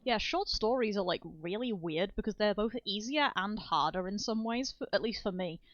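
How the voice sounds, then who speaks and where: A woman's voice: mid-range voice, animated, normal speed, no echo. A woman streaming, chatting casually and rambling, laughing often and swearing freely. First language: not Swedish. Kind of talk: casual chat on a writing stream. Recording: dull lo-fi sound with faint music.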